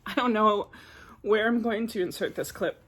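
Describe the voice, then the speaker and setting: A woman vlogger who is getting over a cold talks through her thoughts on books in a loose, rambling way, dropping filler words as she goes. Her voice sits at an ordinary middle pitch. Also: normal speed, slightly rough. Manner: chatty, rambling, many filler "like"s. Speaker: book vlogger. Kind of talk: casual book review vlog.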